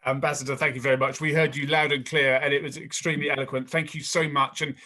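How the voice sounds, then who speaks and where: A man's voice: mid-range voice, level tone, fast, sharp tone. A man moderating an online panel, thanking speakers and introducing the next presenter politely, with a few restarts.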